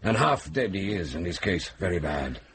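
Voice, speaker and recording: An actor playing a deferential, earnest messenger bearing grave news in a medieval radio drama. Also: male voice, low voice, big pitch swings, moderate pace, background noise. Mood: grave, urgent. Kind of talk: period radio drama acting.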